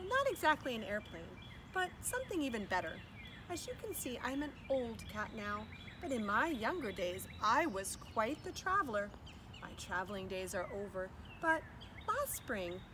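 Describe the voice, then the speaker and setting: A woman's voice: high-pitched, background noise. A woman voicing a courteous, worldly old female alley cat in a children's storybook reading.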